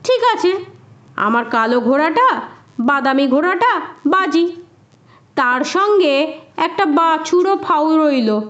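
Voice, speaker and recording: A woman's voice: sits high, lively intonation, takes it slowly, long pauses, fairly bright tone, highs cut off, full character voice. A woman voicing a boastful, scoffing village shopkeeper man in a storybook tale. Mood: gleefully confident.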